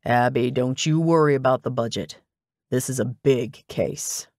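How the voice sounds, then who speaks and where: Woman putting on a low-pitched, brusque old male detective. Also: a little slow, a little mellow, no echo, studio quality. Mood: confident, dismissive. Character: brusque, self-assured older male detective, bossy mentor.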